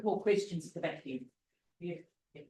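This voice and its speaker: A woman's voice: fairly low pitch, normal speed, dark tone. Echoey female session chair. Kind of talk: informal moderating of audience questions. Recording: echoey room.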